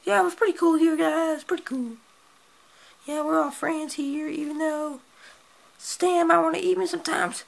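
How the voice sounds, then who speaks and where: A small, friendly hedgehog voiced by a performer with an extremely high, squeaky voice that has a gravelly edge. He rambles and stumbles over his words and keeps restarting his sentences. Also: fairly even intonation, moderate pace. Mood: cheerful. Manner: squeaky, rambling, fumbling speech.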